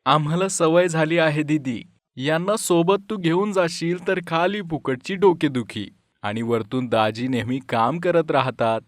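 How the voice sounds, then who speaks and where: Man playing a higher-voiced grumbling family man. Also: a little slow, no echo, studio quality, lightly acted. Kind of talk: animated moral story dialogue.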